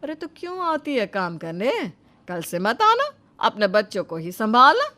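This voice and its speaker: A woman's voice: on the high side, wildly swooping pitch, normal speed, bright, forceful tone, faint music behind, exaggerated voice. A woman voicing a stubborn, bossy older village mother-in-law with a scolding, indignant manner in a dramatised moral story.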